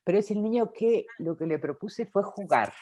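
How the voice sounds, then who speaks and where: A woman with a Rioplatense Spanish accent talks as a film director in an interview. Her pitch rises and falls a lot as she thinks aloud. She circles back and restarts her sentences. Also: animated, normal speed, warm tone. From Uruguay. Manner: reflective, with frequent fillers and restarts. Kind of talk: interview answers.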